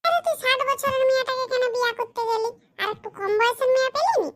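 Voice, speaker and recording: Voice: very high voice, fast, fairly bright tone, a little hiss or hum. A performer voicing a curious, skeptical village man in a cartoon, who keeps firing questions. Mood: incredulous. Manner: questioning, incredulous. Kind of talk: cartoon comedy voice acting.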